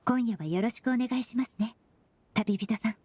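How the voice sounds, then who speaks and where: Polite, analytical young woman, highish voice. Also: darkish tone, breathy edge, dry recording. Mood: calm, thoughtful. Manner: polite formal speech, measured reasoning. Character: polite, composed, analytical young female perfumer. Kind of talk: anime game voice acting. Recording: background music under the voice.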